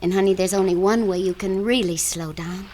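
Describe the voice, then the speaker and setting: Female actor for radio drama: mid-pitched loving wife, persuasive and insistent, slight background noise. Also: fairly even intonation, fairly quick, warm tone, close to an everyday voice. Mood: coaxing, insistent. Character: devoted, firm, coaxing wife. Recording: noisy vintage radio drama recording.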